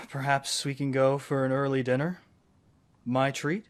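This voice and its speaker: A man acts out a scholarly wizard boyfriend from a fantasy audio roleplay. He has a mid-pitched voice and talks in gentle, courtly phrases. His delivery is intimate and affectionate, as if to a beloved companion. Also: little pitch movement, measured pace, clean tone, close to an everyday voice. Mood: affectionate, cheerful.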